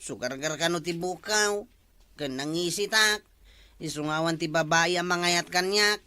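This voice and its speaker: A woman's voice: fairly low pitch, normal speed, pushed and bright, smooth, no room sound. A woman with an Ilocano accent playing an easily hurt, indignant villager in a comedy drama. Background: prominent music.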